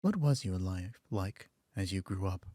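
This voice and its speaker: A man acting a calm, patient marriage therapist who speaks softly and soothingly to mediate, in an audio drama. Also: low-pitched, pitched below the performer's own voice. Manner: soft-spoken, soothing, measured.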